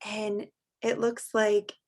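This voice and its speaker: A woman's voice: medium pitch, level tone, slow, a little hiss or hum. A woman teacher reading a picture book aloud to young children, expressively and in simple words, with chatty asides.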